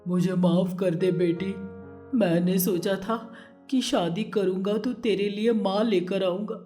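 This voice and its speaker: A woman's voice: steady tone, unhurried, mellow, soft background music, lightly acted. A woman voicing a weak, guilt-ridden father who speaks sorrowfully. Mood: remorseful. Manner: sorrowful, apologetic, lower pitched.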